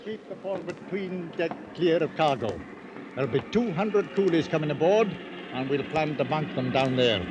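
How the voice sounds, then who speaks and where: An old radio drama captain played by a man, stolid and literal-minded, his pitch rising and falling freely as he deliberates over small points. He is unflappable and pedantic, heard through the background noise of a vintage broadcast. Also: expressive, highs cut off, natural voice. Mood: matter-of-fact. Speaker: actor playing a ship's captain. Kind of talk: 1940s radio acting.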